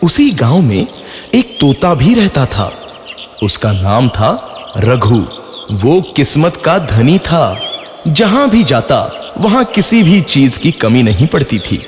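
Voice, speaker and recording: A man's voice: medium pitch, very animated, slow. A man reading scripted storybook narration for a children's cartoon. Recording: echoey, long reverb, faint music behind. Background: faint music.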